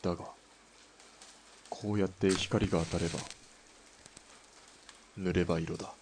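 An actor as a cool male sniper and gun connoisseur with a very low voice. He speaks in an unhurried, deadpan, technical way, as in a radio drama. There is faint ambient noise behind him. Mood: cool, absorbed. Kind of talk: Japanese audio drama acting.

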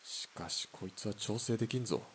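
A man plays a terse soldier in a radio drama, his voice very deep. He speaks short and matter-of-fact over a faint hiss. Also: a little fast, lightly acted. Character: terse, steady army soldier partner.

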